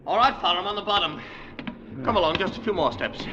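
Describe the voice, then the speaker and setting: An actor in a 1950s radio drama playing a resolute, analytical explorer who reasons things out decisively. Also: male voice, sits high, fast, close to an everyday voice. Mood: urgent. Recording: dull old radio recording, noisy background.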